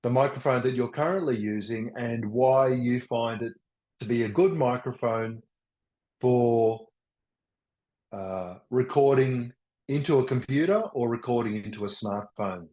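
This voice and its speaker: An older man presenting a webinar in a loud, enthusiastic voice, often restarting his sentences. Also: mid-range voice. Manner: halting, with many restarts. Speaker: workshop presenter. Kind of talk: teaching a webinar. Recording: roomy echo.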